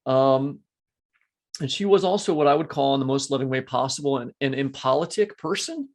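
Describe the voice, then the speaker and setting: A man's voice: medium pitch, brisk. A man co-hosting a conversational literary interview, earnest and discursive, often correcting himself as he goes. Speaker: podcast co-host.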